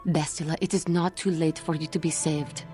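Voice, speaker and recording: An actress playing a calm, compassionate Jedi in a video game, with earnest, measured speech. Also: female voice, low voice, soft background music, natural voice. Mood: earnest, pleading. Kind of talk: dramatic video game acting.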